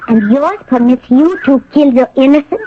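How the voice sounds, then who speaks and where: High-voiced defiant young French woman. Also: lively intonation, moderate pace. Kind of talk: radio drama acting. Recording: muffled old radio broadcast with clear noise.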